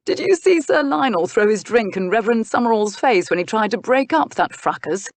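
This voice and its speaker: A woman's voice: a little high, very animated, brisk, no room sound, voice pushed up. Woman as a wry, gossipy, easygoing earl. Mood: amused. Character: wry, easygoing earl, reluctant village magistrate. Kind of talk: historical romance audiobook character.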